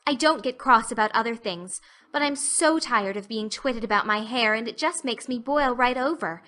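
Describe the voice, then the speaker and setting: A woman's voice: on the high side, moderate pace, sharp tone. A woman voicing an earnest, dreamy, talkative orphan girl with effusive, flowery speech in an audiobook. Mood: plaintive. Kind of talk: audiobook acting.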